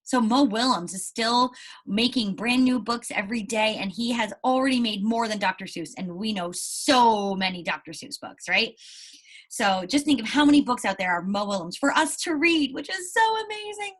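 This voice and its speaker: A woman's voice: fairly high pitch, animated, normal speed. A woman teacher talking to first graders in an explanatory way, often checking in with a questioning "right?". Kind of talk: read-aloud lesson talk to young pupils.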